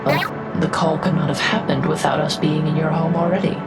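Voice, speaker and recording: Alien diplomat, female actor, low register, courteous and curious, precise measured wording, sci-fi game dub over prominent music. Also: animated. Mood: thoughtful, measured. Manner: formal, precise, measured phrasing. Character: polite, curious female alien envoy, formal and thoughtful. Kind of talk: fan dub of a sci-fi game, character dialogue and inner narration. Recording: music behind the voice.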